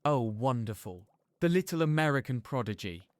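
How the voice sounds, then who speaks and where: A man's voice: medium pitch, lively intonation, moderate pace, dry recording, close to an everyday voice. A man playing an arrogant, jealous schoolboy rival in a fan audio drama, with a haughty, taunting delivery. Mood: sneering.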